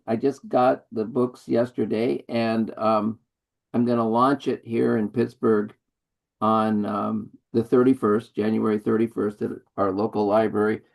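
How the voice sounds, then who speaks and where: A man reading his poem at an online open mic, slow and deliberate, speaking over a video call. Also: medium pitch, vocal fry, a little mellow. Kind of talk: poetry reading.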